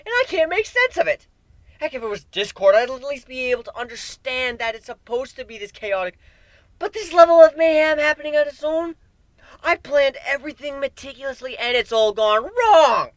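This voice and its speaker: A man voicing a frazzled, sarcastic griffin schemer in a fantasy story, excitable and ranting with dramatic flair. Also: very high-pitched, no room sound, pitched above the performer's own voice, exaggerated voice.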